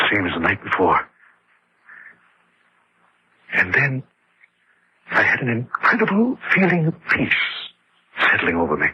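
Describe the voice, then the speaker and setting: An actor playing a sinister, quietly menacing widower telling his tale in an old radio horror drama, insinuating and deliberate. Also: medium pitch, a little hiss or hum. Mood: haunted, fearful. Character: sinister male storyteller, widower turned secret vampire, quietly menacing. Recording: dull, lo-fi old radio recording.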